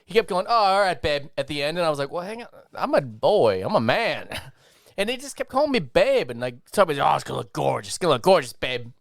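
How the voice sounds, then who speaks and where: Animated, swearing male podcast storyteller. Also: a little high, fast, vocal fry, no room sound, studio quality. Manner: animated, profane, rambling anecdotes. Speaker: podcast co-host. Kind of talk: casual comedic storytelling.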